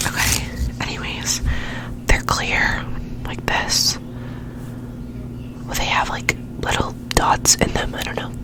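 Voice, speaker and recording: Young female ASMR whisperer, congested and nasal, slow and breathy, right on the mic. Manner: soft rambling whisper, playfully repeats words. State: stuffy and nasal from a cold, sore throat. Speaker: ASMR creator. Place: home bedroom with dim warm lamp. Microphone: very close sensitive mic, gain turned up high.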